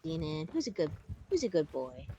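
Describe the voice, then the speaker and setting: A woman's voice: deep voice, big pitch swings, moderate pace, warm tone, lo-fi sound, a little hiss or hum. A woman playing a game with friends, chatting casually in a teasing, theatrical way. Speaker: co-op player. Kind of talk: casual gaming chat.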